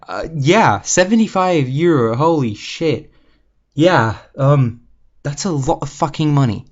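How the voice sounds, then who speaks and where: A young man rants in a loose, rambling way and swears freely. His voice sits at an ordinary middle pitch. The recording sounds a little dull and lo-fi. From Slovakia. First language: Slovak. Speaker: gaming channel host. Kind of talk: casual ranting vlog.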